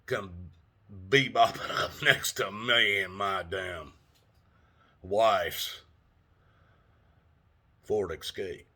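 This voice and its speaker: A man's voice: lowish voice, very slow, pauses often, pushed and bright. A man in his sixties telling a rambling, folksy story in a casual vlog, laughing often and cursing mildly. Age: 60s. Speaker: vlogger.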